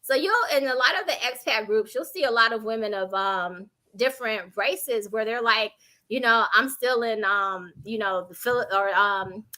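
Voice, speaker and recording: An American woman giving candid conversational advice on a panel, animated and informal, illustrating points with anecdotes. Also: on the high side, rough recording. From Chicago, United States.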